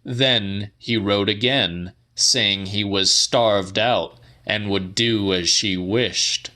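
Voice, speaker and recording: A man with a fairly low, forceful voice narrates literary fiction. He reads slowly and precisely, shaping each phrase with a touch of drama. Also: steady tone. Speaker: audiobook narrator.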